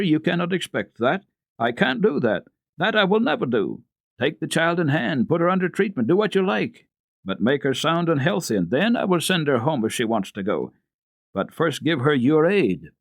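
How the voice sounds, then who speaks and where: A man's voice: a little high, no echo, clean studio sound, voice pushed up. A man voicing an agitated, indignant, commanding master of a wealthy house in an emphatic, exclamatory storybook reading.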